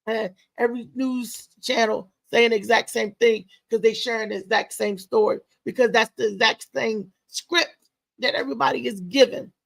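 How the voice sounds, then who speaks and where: Casting: female comedian-host, high voice, sassy and slangy, casual morning-show banter. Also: fairly even intonation, normal speed, creaky. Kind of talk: casual live morning show chat, ranting.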